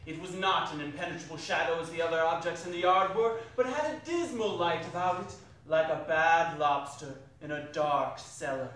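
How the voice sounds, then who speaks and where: A distant, very echoey recording of a man reading a classic tale aloud as a stage narrator. His voice is notably high, with faint noise around it. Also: normal speed, few pauses, fairly bright tone. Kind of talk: theatrical dramatic reading of a classic story.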